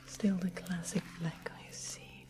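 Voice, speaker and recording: A woman playing a sweet but sly fantasy witch in a whispered ASMR roleplay, coaxing and softly menacing with polite, breathy speech. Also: on the low side, level tone, slow. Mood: sly, businesslike. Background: music and ambient sound.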